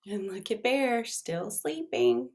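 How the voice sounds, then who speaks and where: A woman's voice: medium pitch, very animated, slow, darkish tone, slight background noise. A woman reading a children's storybook aloud expressively, addressing her young listeners as she goes.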